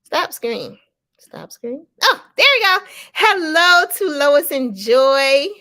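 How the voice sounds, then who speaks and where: Give me a bubbly woman hosting a festival livestream over a video call. Her voice is fairly high and bright, and it sounds dry and close, with no room around it. She welcomes her guests with eager enthusiasm. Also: sing-song, slow, dry recording. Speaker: festival host. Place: New York City.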